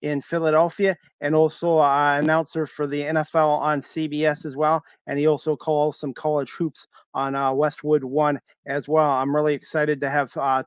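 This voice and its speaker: A man in his fifties with a fairly high, clear voice hosts a sports talk podcast. He speaks casually, like an eager fan who appreciates his guest. The sound is close and dry. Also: dry recording. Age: 50s.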